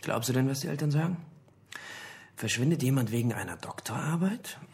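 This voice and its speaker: An actor playing a skeptical young employee in a radio drama, with a flippant, questioning manner. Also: male voice, medium pitch, expressive, brisk, gravelly, darkish tone. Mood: skeptical, amused. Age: young adult.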